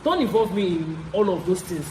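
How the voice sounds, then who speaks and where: A man's voice: sits high, animated, fairly quick. Young Nigerian man chatting in an echoey room. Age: young adult. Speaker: vlogger. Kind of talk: casual story-time chat. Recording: roomy echo, clear background noise.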